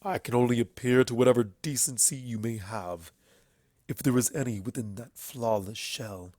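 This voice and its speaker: A man voicing a bitter, grieving, proud ancient stallion in a storybook reading, forceful and declamatory. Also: mid-range voice, moderate pace, dry recording, clean studio sound, lightly acted. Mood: grim, accusing. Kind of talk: dramatic fan fiction character reading.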